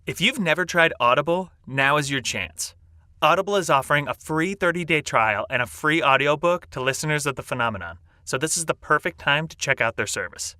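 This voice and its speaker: A man's voice: a little high, lively intonation, a little fast, pushed and bright, no room sound, studio quality. A man reading an upbeat scripted sponsor message as a podcast announcer.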